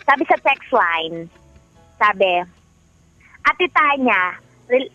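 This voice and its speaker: A woman co-hosting a radio show, chatty and teasing, often chiming in with quick agreement. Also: a little high, sing-song, bright, forceful tone, no room sound, lo-fi sound. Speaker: radio DJ co-host. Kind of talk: casual radio banter. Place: radio studio. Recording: faint music bed.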